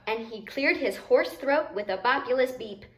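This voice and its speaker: A woman reading a picture book aloud to children in an expressive, deliberate way, adding simple asides. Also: high voice, reverberant. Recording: noticeable background noise.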